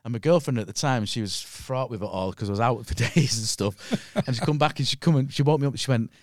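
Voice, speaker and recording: A middle-aged man with a Manchester accent tells loose, casual stories in a close, dry recording. His voice sits at an ordinary pitch, and he talks bluntly with plenty of swearing. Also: quick pace, dry recording. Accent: Mancunian. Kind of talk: casual anecdotal storytelling.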